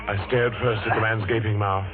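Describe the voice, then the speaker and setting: A man's voice: fairly low pitch, flat delivery, brisk, lightly acted. An actor playing a haunted man recounting his youth with dramatic, suspenseful delivery in 1940s radio thriller style. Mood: horrified. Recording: tinny old radio recording, loud music.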